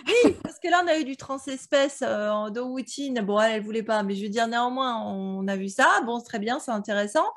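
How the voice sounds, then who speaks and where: Woman co-hosting a book club podcast, highish voice, chatty and slangy, fan talk. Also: lively intonation, brisk, few pauses. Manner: informal, slangy speech. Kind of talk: casual chat, fan discussion.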